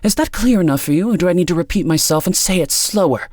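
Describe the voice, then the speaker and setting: A stern woman of authority in an audiobook , acted out by a male narrator. The voice is pitched up and close in a dry recording, with a cold, clipped delivery that is impatient and condescending. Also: expressive, moderate pace, a little mellow, no echo. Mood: impatient, frustrated.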